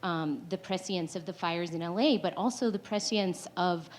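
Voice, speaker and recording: A woman's voice: on the low side, normal speed, a little hiss or hum. A woman speaking on a live panel, conversational and full of restarts, laughing often. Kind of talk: panel discussion.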